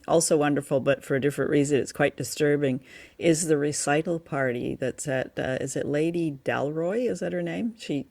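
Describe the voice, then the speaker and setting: A woman's voice: sits low, vocal fry, no room sound. A woman co-hosting a radio show, chatting about old drama and often searching for her words. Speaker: radio show and podcast co-host.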